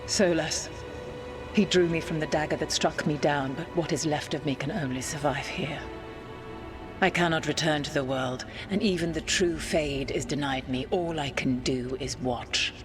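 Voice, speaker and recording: An actress playing an imperious, wounded fragment of an ancient goddess, who speaks in a commanding, scornful way in a video game cutscene. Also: female voice, low-pitched, normal speed, over music, natural voice. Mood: weary.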